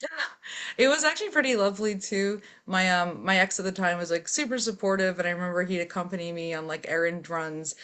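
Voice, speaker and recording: A woman with a fairly low voice, a metal vocalist, talks through her story in a casual interview. She is open and unhurried and leans on easy fillers as she goes. Her manner stays relaxed and conversational. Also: clean tone.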